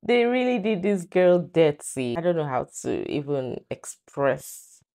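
A woman's voice: low voice, sing-song, measured pace, dark tone. A young woman hosting a casual reaction video, chatty and incredulous, filmed in a small room. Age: young adult. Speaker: reaction host.

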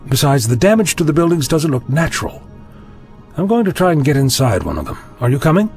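A man's voice: fairly high pitch, sing-song, a little fast, a little mellow, soft background music. A man playing a curious, breezy, slightly fussy adventurer in an audio drama, musing and chatty.

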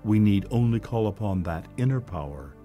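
A man reading a scripted, expository foreword in a measured, earnest manner. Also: lowish voice, animated, over loud music. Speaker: narrator of a foreword.

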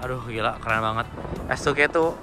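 A man's voice: mid-range voice, fairly quick. A man with a Jakarta Indonesian accent giving enthusiastic, casual vlog commentary, mixing slang with English car terms. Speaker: car vlogger. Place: outdoors at a car meetup. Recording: loud music behind, outdoor vlog audio.

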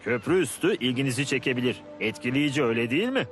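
An actor playing a friendly ship's captain showing visitors around in a dubbed cartoon. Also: male voice, mid-range voice, animated, fast talker, soft background music, natural voice. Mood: welcoming, explanatory. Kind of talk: dubbed educational cartoon dialogue.

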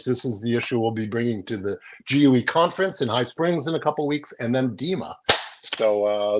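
An older man chats through a news rundown on a video call from a small room at home. His voice sits at an ordinary middle pitch, and he explains things casually, often checking in with a tag question. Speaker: magazine editor and guest presenter. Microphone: video call, close to the screen.

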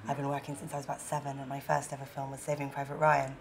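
A low, flat, gravelly woman's voice, speaking with earnest care and small restarts. She is a director in her thirties, seated indoors on a lapel mic. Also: very fast, a crowd in the background. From London, England. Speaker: film director and actor, interview guest. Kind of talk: casual interview answers. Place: seated indoors in a room with a leather sofa.

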